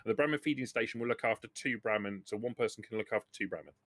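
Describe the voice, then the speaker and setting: Game master, dry close sound. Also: male voice, fairly low pitch, very fast, a bit bright, dry recording. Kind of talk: explaining game rules conversationally.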